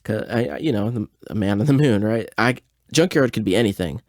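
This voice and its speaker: A man's voice: fairly low pitch, expressive, fairly quick, darkish tone, dry recording, studio quality. A man hosting a podcast in a casual, informal, joking way. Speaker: podcast host. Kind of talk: casual chat, reacting to old radio plays.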